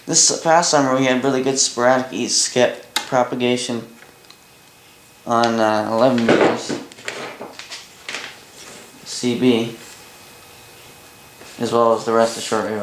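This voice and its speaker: A Canadian man gives a casual, unscripted demonstration. He speaks offhandedly and haltingly, as if working it out while he talks. The room is noticeably echoey, with faint noise and clicks behind him. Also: mid-range voice, flat delivery. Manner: halting, offhand, thinking aloud. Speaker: hobbyist presenter demonstrating a vintage boombox. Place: indoor room at a workbench by a window. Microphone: camera mic a short distance away.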